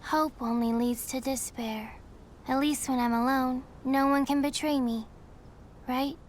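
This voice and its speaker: A woman voicing a guarded, distrustful orphan girl in a video game, terse, blunt and deadpan. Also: high voice, unhurried, slight background noise. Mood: guarded, hopeless. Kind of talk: video game character acting. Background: loud music.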